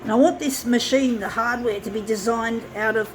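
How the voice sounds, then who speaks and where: A woman giving a rambling, earnest personal monologue, halting and repeating herself as she addresses her listeners. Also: on the high side, fairly even intonation. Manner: halting, repetitive, often addressing listeners as folks. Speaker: presenter of a personal video. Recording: noisy amateur recording with background ambience.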